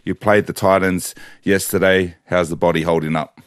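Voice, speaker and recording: Male host, deep voice, dry recording, casual and supportive interview delivery. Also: fairly even intonation, moderate pace, clear, resonant tone, no room sound. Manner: informal, slangy, supportive.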